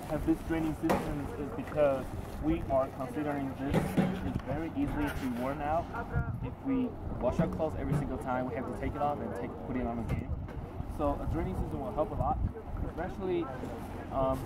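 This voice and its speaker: A young man in his twenties from Taiwan, a chemical engineering student, explaining a drain system while searching for words. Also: on the high side. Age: 20s. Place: outdoors by a lake. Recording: loud outdoor background noise.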